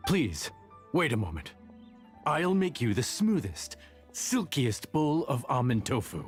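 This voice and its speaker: Animated, grateful young cook. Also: male voice, medium pitch, unhurried, soft background music. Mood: grateful, relieved. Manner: animated, effusive. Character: grateful, amazed, eager young male cook at an inn. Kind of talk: video game voice acting.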